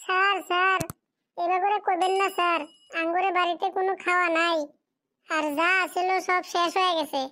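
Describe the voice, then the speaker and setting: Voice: very high voice, level tone, brisk, pushed and bright. A performer voicing a poor, fatherless schoolboy in a cartoon drama, pleading and plaintive in a rural Bengali dialect.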